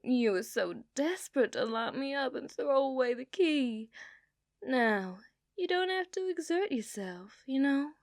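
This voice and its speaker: A woman voicing an obsessive, dominant outlaw cowgirl in a put-on Southern accent, for an ASMR roleplay, teasing and dropping into soft whispers. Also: sits high, expressive, measured pace, no room sound, studio quality. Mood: flirtatious. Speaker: ASMR performer.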